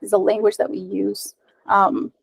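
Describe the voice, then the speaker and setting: A woman's voice: medium pitch, level tone, a little slow, vocal fry, slightly rough, no room sound. A woman in her thirties whose first language is Spanish, giving a casual, friendly tech meetup talk over slides on a video call, with frequent ums and uhs. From United States. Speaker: meetup speaker, mobile developer. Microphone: webcam on a video call.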